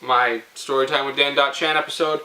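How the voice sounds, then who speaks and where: A man's voice: medium pitch, steady tone, fairly quick, reverberant, background noise. A young man from Canada hosting a casual storytelling video, talking in a playful, rambling, informal way. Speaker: host of a storytelling video series. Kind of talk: casual comic storytelling to viewers.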